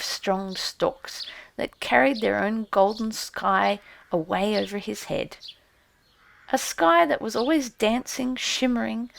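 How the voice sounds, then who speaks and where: Very creaky, expressive woman storyteller. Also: mid-range voice. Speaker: audiobook narrator.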